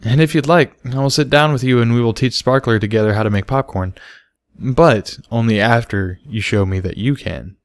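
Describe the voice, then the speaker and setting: A man voicing a patient male unicorn teacher in a storybook, speaking slowly with gentle command. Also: medium pitch, no echo, studio quality, close to an everyday voice. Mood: encouraging. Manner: slow, gentle, instructive. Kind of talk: storybook character voice.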